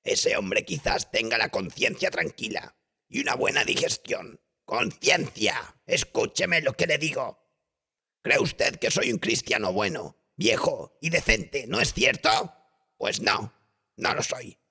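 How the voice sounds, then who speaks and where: A man voicing an old, cultured, hard-drinking tavern regular, bitter and self-loathing, speaking in an emphatic, halting way for an audiobook tale. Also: medium pitch, sing-song, creaky, bright, forceful tone, slightly rough, pitched above the performer's own voice, exaggerated voice.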